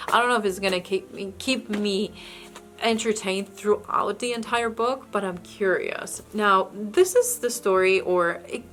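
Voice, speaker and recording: A woman's voice: mid-range voice, measured pace, fairly bright tone, faint music behind. A woman who speaks English as a second language, chatting casually about books she bought, with frequent restarts and hesitations. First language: not English. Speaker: book vlogger. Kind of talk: casual book haul chat.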